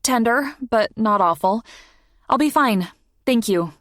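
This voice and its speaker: An eager, grateful young woman, as an audiobook reader puts her on. The voice is lifted and lively against a dry, close recording, bright and quick to thank. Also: fairly high pitch, dry recording, pitched above the performer's own voice. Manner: lighter, raised, eager delivery. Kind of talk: audiobook character dialogue.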